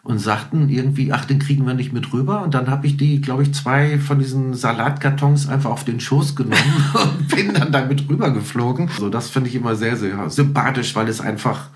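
A man's voice: mid-range voice, fairly quick, few pauses. A middle-aged man as a podcast guest, telling anecdotes casually and acting out little quotes. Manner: informal, conversational, tells stories with acted-out quotes.

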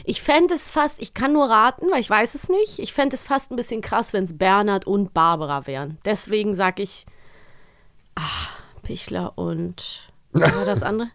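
A woman's voice: medium pitch, expressive, moderate pace, a bit bright, no room sound, clean studio sound. A woman hosting a podcast, chatting casually in a playful, teasing way. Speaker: podcast host. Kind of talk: casual chat.

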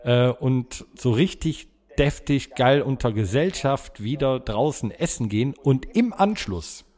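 A man with a medium-pitched voice chats as a podcast host. His delivery is casual and rambling, often halting as he lays out his thoughts. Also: lively intonation, darkish tone. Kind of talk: casual chat among friends.

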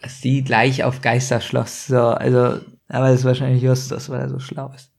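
A man's voice: medium pitch, monotone, moderate pace, gravelly, darkish tone. A man chatting casually as a podcast co-host, often hesitant and groping for his words. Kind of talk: casual chat, reacting to a co-host.

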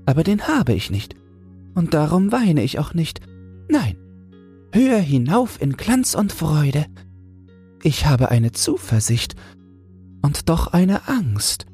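A man's voice: fairly high pitch, big pitch swings, takes it slowly, pauses often, darkish tone, breathy edge, faint music behind, voice pushed up, heavily acted. A man voicing a small, naive female toad full of yearning and wonder in a fairy tale, earnest and exclaiming. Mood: restless longing. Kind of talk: fairy tale audiobook character voice.

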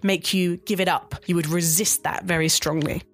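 A woman hosting a podcast, asking gentle, probing questions of her guest. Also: fairly low pitch, fairly even intonation, normal speed, faint music behind. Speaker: podcast host, interviewer. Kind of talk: interview questions.

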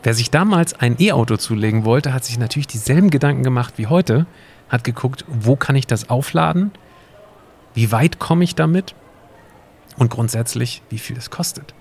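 A man playing a calm, knowledgeable time traveler in an amateur audio drama, explaining things conversationally. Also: medium pitch, moderate pace, slightly rough. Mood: explanatory.

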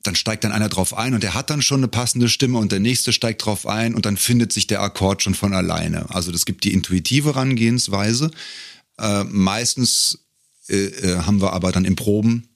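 A middle-aged man telling stories as an interview guest in a casual, wryly humorous way, often pausing to find his words. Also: sits low, gravelly, no echo, studio quality. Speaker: podcast guest, a cappella singer and arranger.

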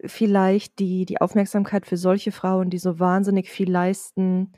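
A woman podcast host asking gentle, careful interview questions. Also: mid-range voice, monotone, unhurried, no room sound, clean studio sound.

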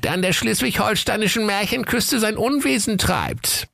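A man voicing an old, sly, bossy fairytale witch in a falsetto, with a deliberate, sing-song delivery. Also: animated, gravelly, pushed and bright, no room sound, heavily acted. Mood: sly, instructing.

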